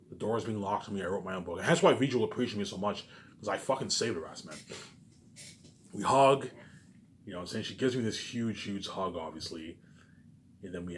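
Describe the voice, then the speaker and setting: A man talks very quickly in a fairly low voice. His casual monologue rambles and circles back on itself, with emphatic repetition and frequent tag questions. There is some noise in the recording.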